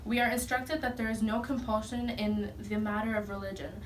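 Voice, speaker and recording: A teenage girl giving an earnest, measured prepared speech as a student panelist. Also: mid-range voice, fairly even intonation. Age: teens. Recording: distant mic, faint noise.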